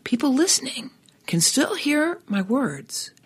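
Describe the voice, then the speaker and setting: A woman with a hoarse, scratchy voice from laryngitis, hosting a children's Bible lesson and gently asking the children questions. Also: high voice, big pitch swings, measured pace. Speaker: children's show host.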